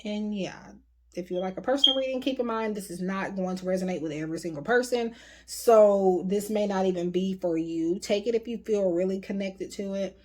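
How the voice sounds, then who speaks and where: A woman giving a casual, rambling tarot reading to her viewers, her voice going a bit hoarse. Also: medium pitch, fairly quick, a little mellow, slight background noise. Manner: chatty, rambling, frequent fillers. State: voice getting hoarse. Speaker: tarot reader.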